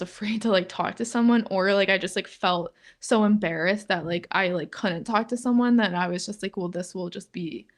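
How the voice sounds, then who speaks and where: A young woman in her twenties co-hosting a podcast in casual chat, talking in a flat monotone and filling nearly every phrase with "like". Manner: says "like" constantly, flat and low-key, laughs often. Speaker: podcast co-host.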